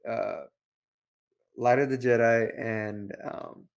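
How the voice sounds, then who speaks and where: A man talks as a review show co-host in a casual, opinionated style. He rambles from thought to thought and keeps posing rhetorical questions. His pitch sits in the middle range for a male voice. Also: steady tone, takes it slowly, gravelly.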